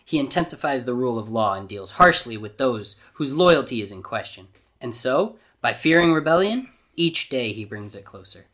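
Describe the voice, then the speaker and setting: A man voicing a scheming, menacing Grand Vizier villain in a pompous, theatrical storybook reading, deliberate and cold. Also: mid-range voice, sing-song, fairly bright tone. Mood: smug scheming.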